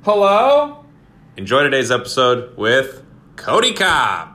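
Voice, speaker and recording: A man's voice: mid-range voice, wildly swooping pitch, takes it slowly, pushed and bright. A young man hosting a comedy podcast, joking playfully and reading a post aloud. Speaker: podcast host. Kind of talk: casual comedic podcast chat.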